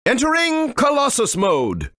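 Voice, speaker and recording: A woman's voice: high-pitched, wildly swooping pitch, measured pace, a bit bright, no room sound, studio quality, full character voice. A woman playing a dutiful military bridge operator in a video game, reporting formally. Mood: alert.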